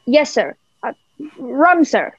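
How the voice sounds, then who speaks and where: A nervous, deferential boy acted by a young woman in a radio play. The high voice stammers, on a dull lo-fi recording. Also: very animated, slow pace, husky, exaggerated voice. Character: nervous, polite boy at an inn. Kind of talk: amateur radio play acting. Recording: dull lo-fi remote home recording.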